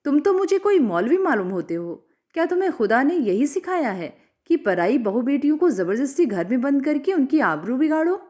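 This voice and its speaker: A proud, indignant lady standing her ground voiced by a storyteller. Her high female voice is accusing and animated, in the style of an audiobook drama. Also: moderate pace.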